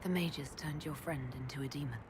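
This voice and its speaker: An actress playing a steady, practical elf leader. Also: female voice, deep voice, brisk, noisy background, close to an everyday voice. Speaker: player character.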